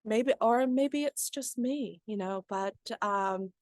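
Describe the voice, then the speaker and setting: Slow, thoughtful woman writer. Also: medium pitch, darkish tone, dry recording. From Vietnam. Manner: thoughtful, halting, reflective. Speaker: writer, panel guest. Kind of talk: conversational panel discussion.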